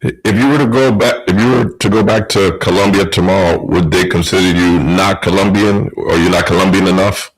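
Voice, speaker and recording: A man's voice: sits low, steady tone, fairly quick, few pauses, poor audio. A man hosting a podcast, asking direct questions in a casual interview. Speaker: podcast host.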